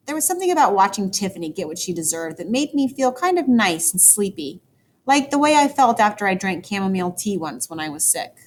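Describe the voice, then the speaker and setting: A woman teacher reading a children's story aloud, with expressive, dramatic emphasis for young listeners. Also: mid-range voice. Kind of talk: animated children's book read-aloud.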